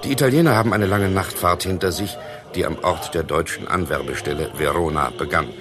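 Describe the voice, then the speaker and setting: A man's voice: sits low, brisk. A man narrating a 1960s television news report in a formal announcer delivery. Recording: old 1960s TV archive clip with crowd behind.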